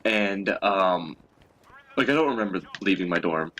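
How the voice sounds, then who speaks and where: A man's voice: sits low, animated, moderate pace, a bit bright, slight background noise, poor audio. A young man chatting casually and telling stories as a co-host, in a crude, profanity-laden way. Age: young adult. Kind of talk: casual chat, storytelling.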